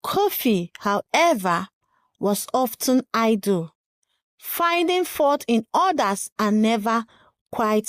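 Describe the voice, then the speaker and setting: Woman storyteller, unhurried pace, lively swooping pitch, dry close sound, scripted folktale narration. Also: dry recording.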